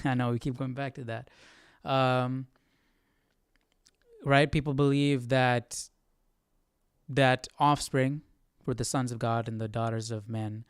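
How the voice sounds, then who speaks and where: A man's voice: mid-range voice, steady tone, unhurried, clear, resonant tone, no echo, studio quality. A man hosting a casual live Bible study, thinking aloud informally and often checking in with the listener. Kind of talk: casual live commentary on scripture.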